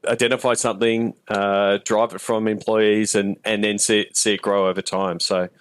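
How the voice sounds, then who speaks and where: A man's voice: lowish voice, level tone, moderate pace, smooth, no room sound. Man interviewing, close dry sound. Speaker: podcast host, interviewer. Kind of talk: casual podcast interview.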